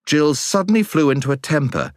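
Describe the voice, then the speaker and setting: A British man narrating a storybook as a scripted audiobook, in an expressive storytelling manner. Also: fairly high pitch, a little fast, no room sound, studio quality.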